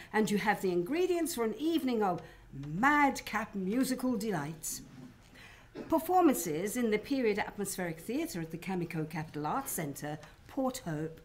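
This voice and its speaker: A woman reading scripted announcements and narration for a radio play. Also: mid-range voice. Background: music.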